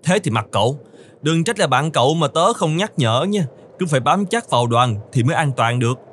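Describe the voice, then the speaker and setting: A man voicing a bossy camel companion in an animated fairy tale, scolding and hurrying a friend along. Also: fairly high pitch, brisk, a little hiss or hum. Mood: nagging.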